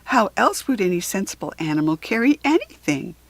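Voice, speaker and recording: Brisk, dismissive mother monkey done by a woman. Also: medium pitch, sing-song, dry recording.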